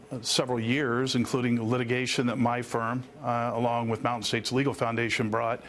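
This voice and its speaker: A man with a mid-pitched voice gives detailed explanations as a news interview guest. He sounds earnest and uses formal, lawyerly phrasing. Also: a bit bright, slight background noise. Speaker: attorney and former air traffic controller, interview guest.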